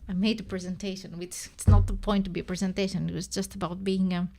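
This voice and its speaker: A woman's voice: medium pitch, little pitch movement, quick pace, dark tone. Greek woman rambling on a live stream. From Greece. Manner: rambling, self-correcting, thinking aloud. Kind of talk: casual live-stream chat.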